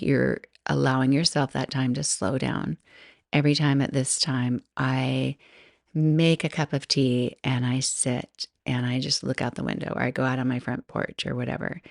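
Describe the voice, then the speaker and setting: A woman's voice: extremely low pitch, vocal fry, dry recording, clean studio sound. A middle-aged woman hosting a solo podcast, chatting casually and rambling, often laughing and interrupting herself. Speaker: podcast host.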